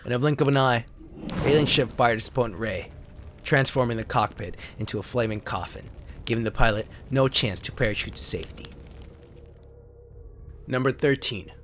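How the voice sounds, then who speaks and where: A man with a medium-pitched voice reads pulp sci-fi tales as a narrator. His delivery is theatrical and solemn, like a serious storyteller. There is some faint noise and ambience behind him. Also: moderate pace, pauses often, fairly bright tone. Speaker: narrator and host. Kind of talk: dramatic reading of pulp sci-fi card stories. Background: sound effects and ambience.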